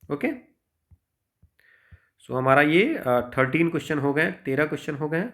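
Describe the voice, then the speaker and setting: Higher-pitched Indian man teaching maths. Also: steady tone, unhurried, a bit bright. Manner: explanatory, with frequent check-in tags. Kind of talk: informal exam-prep lesson, working through problems step by step.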